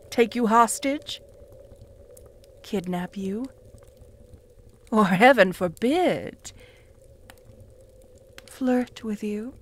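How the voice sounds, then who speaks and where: A woman voicing a cruel, jealous female vampire who taunts the listener in a slow, purring voice that often drops to a whisper. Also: on the low side, a little hiss or hum. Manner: taunting, with soft mocking laughs. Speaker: voice actor in audio roleplay. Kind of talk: audio roleplay addressed to the listener.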